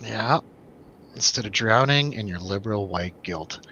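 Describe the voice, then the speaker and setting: A man's voice: fairly low pitch, expressive, unhurried, sharp tone. A man hosting a casual film review show in a jokey, irreverent way. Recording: dull lo-fi, faint background noise.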